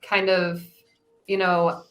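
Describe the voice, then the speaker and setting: A woman's voice: on the low side, little pitch movement, slow pace, muffled recording, soft background music. A woman speaking reflectively and informally as a guest in a panel discussion.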